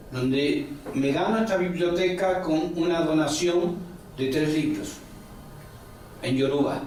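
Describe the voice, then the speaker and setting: A man's voice: on the high side, darkish tone, recorded from a distance, slight background noise. A man, answering interview questions in long rambling stories full of quoted talk and "ujú" fillers. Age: 40s. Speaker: interview guest, religious elder. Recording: echoey room, a bit off-mic, faint background.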